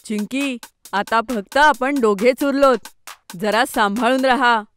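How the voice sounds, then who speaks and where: An eager young cartoon boy, acted out by a woman, with a fairly high voice. He sounds clever and playful as he earnestly explains himself, with music playing behind. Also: measured pace, a bit bright. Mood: playful challenge. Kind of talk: children's cartoon story dub.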